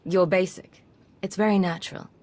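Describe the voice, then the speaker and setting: A woman's voice: fairly low pitch, fairly even intonation, moderate pace, mellow, natural voice. An actress playing a cool, businesslike female intelligence agent and commander in a video game cutscene. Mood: guarded.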